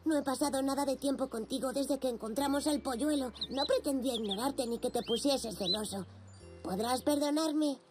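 A performer voicing a curious, caring five-year-old zebra foal in a children's cartoon dub, eager and expressive, with a Castilian Spanish accent. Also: high-pitched, normal speed, natural voice. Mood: tender. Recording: music behind the voice.

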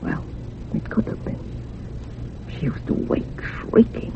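An actress playing an older, sorrowful village housekeeper in a radio drama, confiding in rustic, old-fashioned turns of phrase. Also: female voice, slow, lightly acted. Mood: troubled. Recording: muffled phone-like radio recording with hum.

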